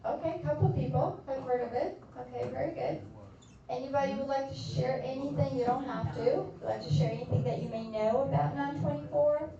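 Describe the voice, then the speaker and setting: A woman's voice: sits high, fairly quick, creaky, crowd noise. A woman from Poland with a Polish accent, a nurse practitioner giving a chatty health talk to a group in a community room. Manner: friendly, chatty, addresses the audience directly. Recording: distant roomy echo, slightly dull.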